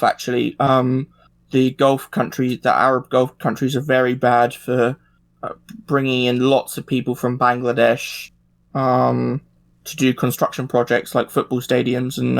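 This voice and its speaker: Creaky-voiced man in casual discussion. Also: medium pitch. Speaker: podcast panelist.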